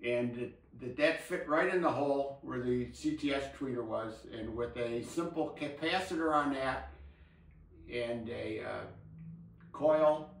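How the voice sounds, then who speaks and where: An older man chatting casually about technical hobby matters as a co-host, explaining haltingly and repeating words. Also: medium pitch, vocal fry. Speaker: co-host of an audio hobby show. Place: indoor room with table and blinds. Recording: roomy, echoey, distant mic.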